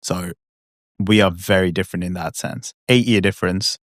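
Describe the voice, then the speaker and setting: A man's voice: low voice, normal speed, a bit bright, no echo, studio quality. A male podcast host with a mixed Australian and British accent, chatty and rambling in a casual way. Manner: chatty, rambling, self-deprecating. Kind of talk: casual interview chat.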